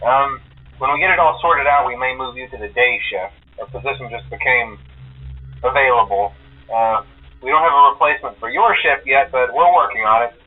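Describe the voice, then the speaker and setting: An actor playing a nervous, evasive restaurant employee in a video game, hesitant and stammering through a telephone filter. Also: male voice, medium pitch, moderate pace. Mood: uneasy, downplaying. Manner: hesitant, stammering, full of uhs. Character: nervous, evasive male restaurant employee leaving phone messages. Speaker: phone caller in a horror video game. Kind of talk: video game voice acting as a recorded phone message. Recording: tinny, band-limited phone line.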